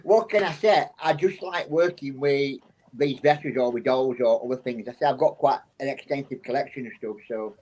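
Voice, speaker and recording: A man's voice: mid-range voice, a little fast, darkish tone, rough recording. A man from England with a South Yorkshire accent, a psychic guest telling rambling anecdotes in a casual interview, often restarting his sentences.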